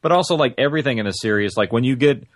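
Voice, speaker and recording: A man's voice: medium pitch, lively intonation, very fast, no room sound, clean studio sound. A man speaking as an interview guest, explaining things casually and conversationally, often restarting his phrases. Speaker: interview guest, audio-drama writer and producer.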